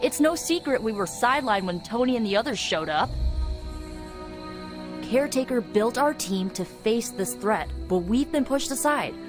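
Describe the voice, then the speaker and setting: Woman playing a blunt, animated young superhero. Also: fairly high pitch, normal speed, music in the background, close to an everyday voice. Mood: frustrated, challenging. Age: 20s. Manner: blunt, challenging. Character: defensive, outspoken young woman superhero in her twenties. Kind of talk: video game cutscene acting.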